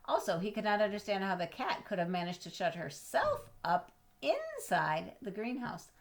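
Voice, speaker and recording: A woman reading a children's storybook aloud expressively, with dramatic pauses, drawn-out words and questions to her young listeners. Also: medium pitch, big pitch swings. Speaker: storybook reader.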